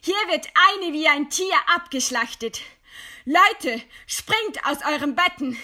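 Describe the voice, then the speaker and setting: A proud, defiant woman intellectual in a radio play. Her voice is high and forceful, and she declaims slowly, with eloquent scorn for her executioner. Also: expressive, exaggerated voice. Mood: crying out. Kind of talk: theatrical radio play acting.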